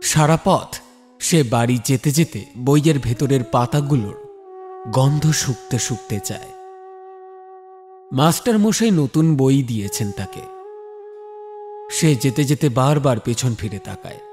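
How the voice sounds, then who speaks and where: Male storyteller, medium pitch, dramatic scripted narration, horror tale, music underneath. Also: lively intonation, unhurried, pauses often, darkish tone. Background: music bed.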